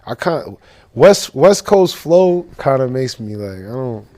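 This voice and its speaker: A man's voice: medium pitch, sing-song, measured pace, mellow. A young man from Boston, a rapper being interviewed, talking in a loose, rambling way with street slang and lots of fillers. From Boston, Massachusetts. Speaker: rapper, guest. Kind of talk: casual interview chat.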